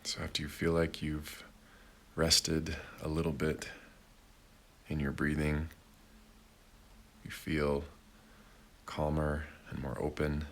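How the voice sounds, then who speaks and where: Man, meditation guide, flat intonation, bright crisp edge, gentle measured phrasing, long pauses. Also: a bass voice, a little hiss or hum. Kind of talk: guided meditation, slow and unhurried.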